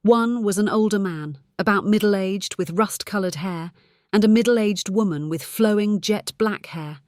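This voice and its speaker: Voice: mid-range voice, unhurried, a little mellow, dry recording, clean studio sound. An audiobook narrator reading a scripted story.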